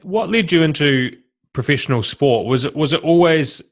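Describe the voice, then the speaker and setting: A man's voice: fairly high pitch, expressive, normal speed. A man asking questions as an interviewer in a casual, conversational style. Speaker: interviewer, podcast host.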